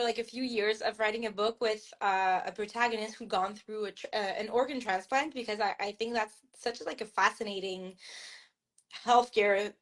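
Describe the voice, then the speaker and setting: A woman's voice: a little high, fairly bright tone. A young woman with a Québécois French accent, French her first language, talking casually as an author guest on a video call, full of fillers and restarts. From Montreal, Canada. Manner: chatty, many fillers and restarts.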